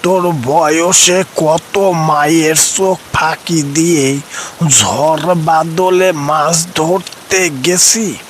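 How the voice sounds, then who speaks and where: A man's voice: a little high, drawn-out words, a little hiss or hum. A man voicing a teasing old village grandpa who coaxes in slangy rustic talk, in a horror storybook cartoon. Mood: coaxing.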